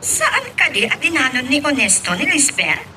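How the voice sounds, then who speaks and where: A haughty boss's wife in a radio drama. Her high, piercing voice swings widely as she interrogates with sarcasm and suspicion, over a slightly noisy background. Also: huge pitch swings, moderate pace, sharp tone, exaggerated voice. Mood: prying, suspicious. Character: haughty, prying boss's wife, Madam Margot. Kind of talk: Ilocano radio drama acting.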